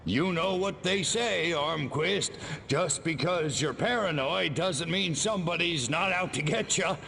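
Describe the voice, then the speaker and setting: Cocky, drawling little alien invader. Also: male voice, fairly high pitch, slow pace, creaky, pushed and bright, slight background noise, exaggerated voice. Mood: smug, mocking. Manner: wisecracking, drawling sarcasm. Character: cocky, sarcastic alien invader, small and trigger-happy. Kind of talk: video game cutscene acting.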